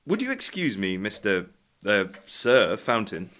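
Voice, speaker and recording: An actor playing a greedy, scornful college master in a comic radio play, with an imperious, dry, exasperated manner. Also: male voice, on the low side, huge pitch swings, measured pace, background noise. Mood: intrigued.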